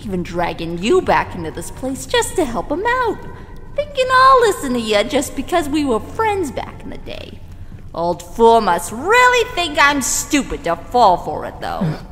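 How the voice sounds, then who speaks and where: A woman's voice: sits high, huge pitch swings, unhurried, fairly bright tone, voice pushed up, exaggerated voice. A woman voicing a bitter, menacing cartoon creature made of ink, taunting and sneering in folksy slang in a fan fiction reading. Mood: bitter, mocking. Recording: long reverb, faint background noise.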